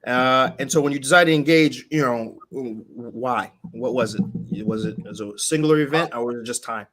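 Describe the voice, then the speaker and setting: A man's voice: mid-range voice, brisk, a crowd in the background, low-quality recording. A man in his thirties hosting a live-stream interview, asking rambling questions with many restarts. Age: 30s. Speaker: host.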